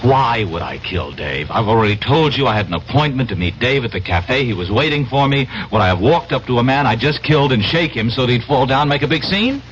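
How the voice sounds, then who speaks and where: A man's voice: medium pitch, animated, fairly quick, few pauses, fairly bright tone, natural voice. An actor playing an indignant, flustered young American man who stammers and protests, in 1970s radio drama style. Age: young adult. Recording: dull noisy vintage radio broadcast.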